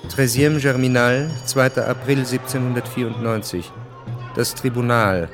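A man narrating a historical radio drama in a measured, sober manner. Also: mid-range voice, steady tone, music in the background, close to an everyday voice. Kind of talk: scripted narration.

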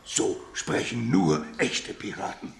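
Wildly swooping, grumpy dungeon keeper. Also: male voice, fairly low pitch, sing-song, slow pace, creaky, reverberant, heavily acted. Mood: won over. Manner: exasperated, blustering. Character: grumpy, gullible male pirate dungeon keeper. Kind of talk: German children's audio drama. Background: music.

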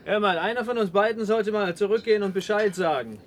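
Guy acting a brisk rocket tech in an old radio drama, highish voice, reassuring, slight noise. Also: lightly acted.

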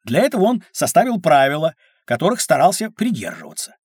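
An actor playing a patient, learned storyteller who explains things expressively in an educational children's audio drama. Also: male voice, a little high, wildly swooping pitch, brisk, slightly rough, no room sound, clean studio sound, heavily acted. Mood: explaining. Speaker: narrator-teacher.

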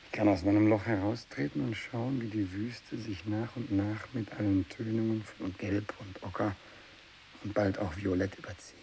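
An actor playing a tormented, fanatical renegade missionary who worships evil, in a feverish radio drama monologue from the 1960s. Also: male voice, natural voice, low-quality recording. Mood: weary, feverish. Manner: feverish, swinging from hushed whispering to shrill ecstatic cries. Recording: hissy 1960s radio recording.

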